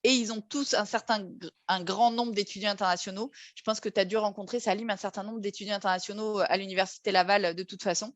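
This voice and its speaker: A woman's voice: mid-range voice, fairly even intonation, fast talker, no room sound. A woman with a French accent hosting an informational talk, explaining things in a friendly way with many hesitations. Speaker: host.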